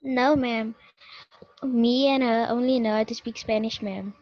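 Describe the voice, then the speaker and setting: A woman's voice: a little high, steady tone, unhurried, a little mellow, muffled recording. A young woman student answering her teacher politely, saying "ma'am" often. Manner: polite, says ma'am a lot.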